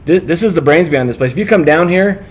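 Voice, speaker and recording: A man's voice: fairly high pitch, lively intonation, fast. A man co-hosting a casual business podcast, upbeat and jokey, repeating words as he asks questions. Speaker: podcast co-host, interviewer. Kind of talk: casual interview chat.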